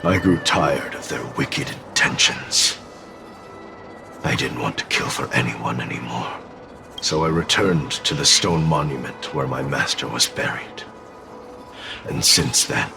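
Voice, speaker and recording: A weary, sorrowful spirit trapped in a sword for a fantasy game. The voice is bottomless and thick with gravel. Every phrase comes slowly and solemnly. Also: male voice, exaggerated voice. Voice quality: very deep, gravelly. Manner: solemn, slow, deliberate. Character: ancient demon bound in a sword, weary, sorrowful. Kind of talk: dramatic game dubbing. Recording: music under the voice. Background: music.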